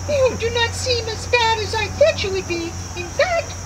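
A woman's voice: extremely high pitch, lively intonation, normal speed. A woman voicing a brave, earnest eight-year-old French aristocrat boy in a puppet show, with grandiose, formal declarations. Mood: friendly, earnest. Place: outdoor puppet theater at a reenactment. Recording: very noisy outdoor recording with insects.

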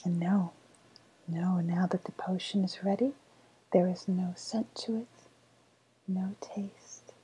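A woman playing a sly, cooing woodland witch with a faint menace, in a breathy, softly spoken ASMR roleplay whisper. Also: fairly low pitch, exaggerated voice, rough recording. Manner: slow, savouring, coaxing delivery.

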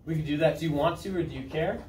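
A man's voice: fairly high pitch, quick pace, darkish tone. Roomy, distant male classroom teacher. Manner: informal, conversational, checks in with students. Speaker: high school chemistry teacher. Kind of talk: classroom lesson, asking students questions. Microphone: camera set back in the room. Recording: roomy, distant camera mic, room noise.

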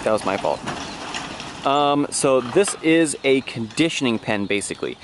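A man's voice: medium pitch, lively intonation, normal speed. Casual man vlogging outdoors, noisy audio. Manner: informal, loosely explanatory. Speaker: farm tour vlogger. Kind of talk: casual off-the-cuff walking tour narration. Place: outdoors in farm pens. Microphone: handheld action camera at arm's length. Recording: outdoor camera audio with noise and clicks.

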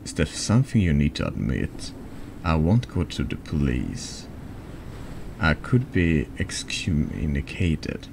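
A man reading a horror text game aloud in a soft ASMR narration style, halting and stumbling over longer words. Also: rumbling low, very animated, vocal fry, noisy background.

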